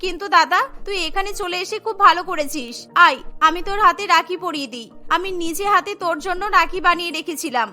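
A woman's voice: extremely high pitch, quick pace, hardly any pauses, sharp tone, faint music behind, heavily acted. A woman voicing a meek, earnest young daughter-in-law in a cartoon, pleading and sincere. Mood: tearful relief.

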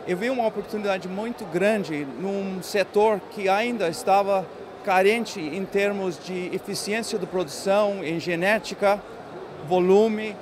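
Older guy fish farmer, thinks out loud, asks himself questions, noisy hall. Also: sits high. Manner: reflective, rhetorical questions. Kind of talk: interview. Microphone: handheld microphone.

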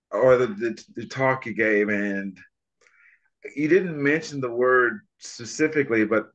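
A man's voice: mid-range voice, fairly bright tone. A middle-aged man, reflecting hesitantly and searching for words on a video call. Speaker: discussion participant. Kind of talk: reflective casual comment. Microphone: device mic on video call. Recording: dull lo-fi video call audio.

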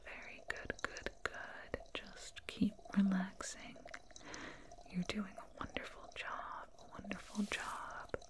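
A woman voicing a soothing, condescending alien dentist in a breathy whispered ASMR roleplay, close to a binaural microphone. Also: medium pitch, level tone, lightly acted. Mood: hushed, reassuring. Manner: slow, soothing, murmured repetitions. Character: condescending, soothing alien dentist, fussing over a little human.

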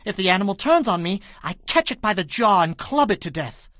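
A very high, youthful voice for a bold shepherd boy in an audio Bible drama. He declaims with earnest conviction. The sound is dry and close. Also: big pitch swings, fairly quick, a bit bright, no echo. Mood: confident.

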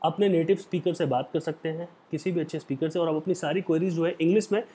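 A young man with an Indian accent giving an earnest motivational talk to the camera on a lapel mic in a small room, lecturing and slowing down for English phrases. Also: a little high, steady tone, mellow, slightly rough. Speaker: hospitality career vlogger. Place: small indoor room, plain wall.

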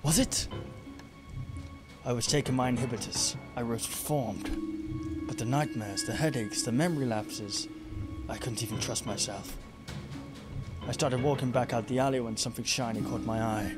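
A man voicing a brooding, haunted, self-doubting noir detective from a video game, in measured, moody hard-boiled narration. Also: mid-range voice, loud music behind the voice, natural voice. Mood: uneasy, haunted.

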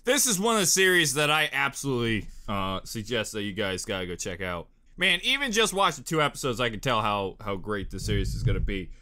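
Young male reaction host, lively swinging pitch, bright tone, joking and chatty. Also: fairly quick, hardly any pauses. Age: young adult. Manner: animated, joking. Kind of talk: casual reaction commentary. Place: small cluttered bedroom. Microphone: desktop condenser microphone.

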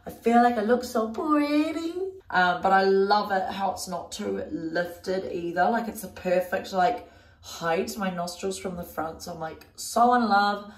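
A woman's voice: mid-range voice, measured pace. A young New Zealand woman vlogging casually to her phone, her voice blocked up and nasal, her talk full of "like" and "um". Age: young adult. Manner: chatty, lots of "like" and "um". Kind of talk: casual vlog to camera. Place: small bathroom indoors. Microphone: phone camera at arm's length. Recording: echoey bathroom.

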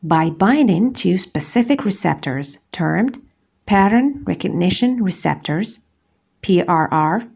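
A woman from Algeria, a gynecologist, giving a scripted medical lecture as a voice-over on slides, measured and precise. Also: lowish voice, creaky, a little mellow. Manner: measured, deliberate, precise enumeration.

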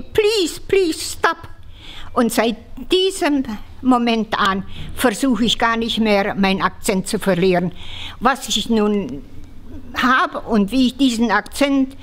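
Ninety-year-old woman with a Moldovan accent. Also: on the high side. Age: 90s. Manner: animated, self-mocking storyteller. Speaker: interviewee. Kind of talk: casual storytelling. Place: large church hall indoors.

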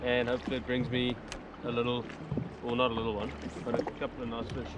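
A man's voice: mid-range voice, monotone, quick pace, lo-fi sound, heavy background noise. A young man, chatting casually as he fishes from a jet ski on the open sea and laughing often. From New Zealand. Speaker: fishing vlogger. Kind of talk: casual fishing vlog commentary. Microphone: action camera mounted on the ski.

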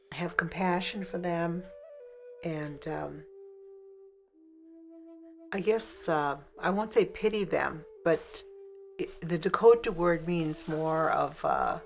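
An older woman speaks in a low voice at an unhurried pace. She is thoughtful and pauses often, with soft music behind her. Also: gravelly. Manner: thoughtful, hesitant with many pauses. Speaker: interviewee, language advocate. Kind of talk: reflective interview. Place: living room, indoors.

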